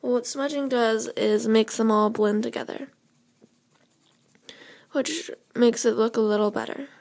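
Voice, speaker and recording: Slightly hoarse young woman explaining a drawing. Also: on the high side, fairly even intonation, a little slow, darkish tone. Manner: chatty, loose, with fillers and self-corrections. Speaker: drawing tutorial host. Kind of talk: casual step-by-step drawing tutorial. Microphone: camera over the drawing paper, speaker off frame.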